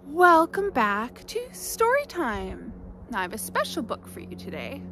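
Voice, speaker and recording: A Canadian woman reading a children's picture book aloud, with animated delivery and dramatic emphasis. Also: sits high, unhurried, noisy background. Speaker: children's storytime host.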